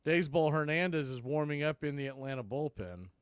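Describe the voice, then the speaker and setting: A man's voice: on the high side, lively intonation, a little fast, clear, resonant tone, dry recording. A man calling a baseball game live as a play-by-play announcer.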